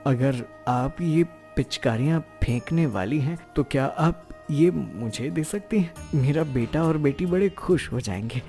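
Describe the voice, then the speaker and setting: A man's voice: a little high, lively intonation, measured pace, hardly any pauses, warm tone, faint music behind. A man voicing a poor, humble and polite working father in a cartoon moral story.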